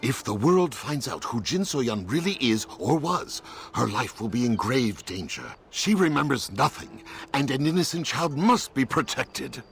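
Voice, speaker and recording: A man voicing a kindly, formal martial arts elder in a video game, measured, courteous and earnest. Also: mid-range voice, sing-song, fairly bright tone, a little hiss or hum. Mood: grave, earnest.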